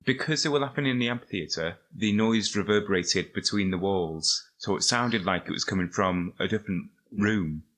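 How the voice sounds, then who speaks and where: A man talks in a lowish voice. He tells his anecdote step by step, in the informal way of a podcast chat. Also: normal speed, few pauses, fairly bright tone. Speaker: podcast participant. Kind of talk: casual anecdote telling.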